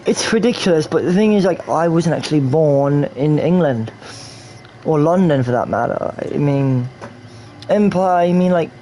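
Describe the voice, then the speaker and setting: A man's voice: a little high, expressive, normal speed, mellow, a little hiss or hum, low-quality recording. A man from New York rambling through casual game stream commentary, repeating himself and slipping in and out of a put-on English accent. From New York, USA.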